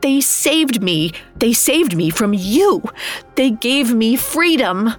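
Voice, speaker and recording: A woman voicing a wronged young woman in a dark fairy tale, earnest and defiant, pleading with emphasis. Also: on the high side, very animated, slow pace, soft background music, pitched above the performer's own voice.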